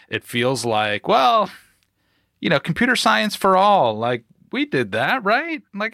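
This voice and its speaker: Low-voiced rambling male podcast host. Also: a bit bright, no echo, clean studio sound. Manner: rambling, filler-heavy, enthusiastic. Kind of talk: casual conversational interview.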